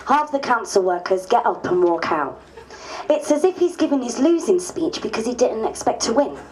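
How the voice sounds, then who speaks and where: A woman playing a reflective female politician, reading her diary aloud in a measured, theatrical way. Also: fairly high pitch, big pitch swings, darkish tone, rough recording.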